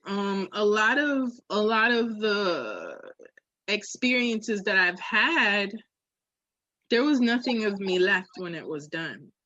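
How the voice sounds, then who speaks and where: A woman's voice: medium pitch, a bit bright, no echo, low-quality recording. A woman in a candid, casual podcast conversation, speaking reflectively and emphatically with slang. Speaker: podcast co-host.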